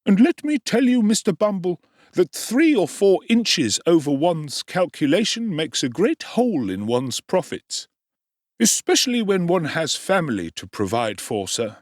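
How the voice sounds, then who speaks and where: A man voicing a gaunt, jocular middle-aged undertaker with a high, wheedling tradesman's whine. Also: very animated, a little slow, no echo, studio quality, heavily acted.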